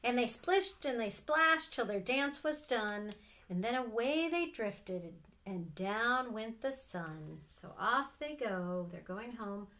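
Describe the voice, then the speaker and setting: A middle-aged woman reading a picture book aloud to children, expressive and sing-song, with casual asides. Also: a little high, takes it slowly. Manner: expressive, sing-song, asking the listeners questions. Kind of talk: animated read-aloud storytelling with casual asides. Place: small room at home with sloped ceiling.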